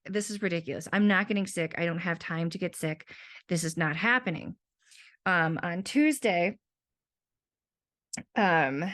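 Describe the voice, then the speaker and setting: A woman's voice: mid-range voice, fairly bright tone. A young woman and teacher, sick with a sore throat, talking casually and rambling through her week in a personal monologue. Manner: rambling, self-talking asides.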